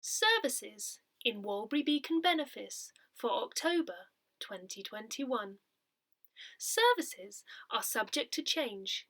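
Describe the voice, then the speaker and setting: A woman reading a written newsletter article aloud in a measured, carefully enunciated way. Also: fairly high pitch, sing-song, fairly bright tone. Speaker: volunteer reader of an audio parish newsletter.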